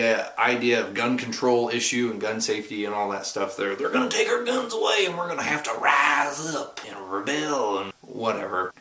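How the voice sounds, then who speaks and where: A man's voice: fairly low pitch, fairly bright tone. A man from Oklahoma with an Oklahoma accent, rambling and joking in a casual vlog, recorded on a camera mic. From Oklahoma, USA. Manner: joking, rambling, self-deprecating. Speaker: vlogger. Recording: roomy echo.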